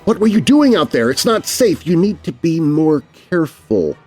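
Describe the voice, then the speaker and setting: A man's voice: fairly high pitch, sing-song, normal speed, a little mellow, husky, faint music behind, voice pushed up. A man playing an anxious, protective young boy in a children's horror story. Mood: urgent, protective. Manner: earnest, urgent.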